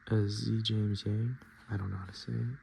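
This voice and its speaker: A man speaking softly and often whispering in a casual ASMR style, with hesitant, halting, murmured delivery. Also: on the low side, flat delivery, gravelly. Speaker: ASMR video host. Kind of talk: soft-spoken and whispered ASMR, casual commentary. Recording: noticeable background noise.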